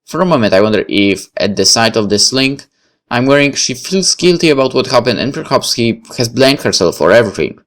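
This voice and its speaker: A man's voice: mid-range voice, lively intonation, brisk, fairly bright tone. A man narrating a visual novel as he plays, reading the story aloud.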